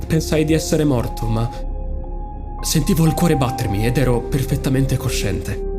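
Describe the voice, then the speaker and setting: A man's voice: mid-range voice, moderate pace, music in the background, close to an everyday voice. A man playing an anguished amnesiac space pony in an Italian audio drama, theatrical, brooding and easily exasperated. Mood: brooding, haunted.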